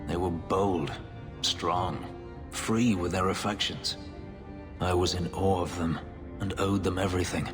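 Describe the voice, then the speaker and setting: An actor playing a haunted, guarded former slave, an elf warrior who speaks in a measured, formal, restrained way, in video game dialogue. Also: male voice, sits low, fairly even intonation, over music. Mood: brooding.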